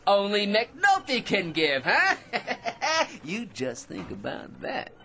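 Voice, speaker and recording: A man's voice: sits high, huge pitch swings, slow, gravelly, pushed and bright, heavily acted. An actor playing a boastful, chattering office crank in a radio drama, self-important and prone to giggling at his own remarks. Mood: smug, giggly.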